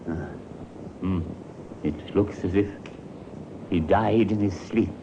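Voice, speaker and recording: A man's voice: low-pitched, takes it slowly. An actor playing a blunt, suspicious man of seventy-five in a 1950s radio drama. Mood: suspicious, stern. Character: gruff, suspicious seventy-five-year-old father. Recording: muffled, noisy old radio recording.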